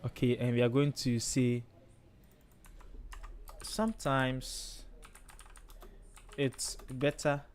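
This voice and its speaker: Ghanaian guy streaming his design work, talks thru it casually, middle pitch, keyboard clacking behind. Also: little pitch movement, drawn-out words, pauses often, darkish tone, a little hiss or hum. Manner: chatty, thinks aloud, frequent okays. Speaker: livestream host, designer. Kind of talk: casual live design tutorial.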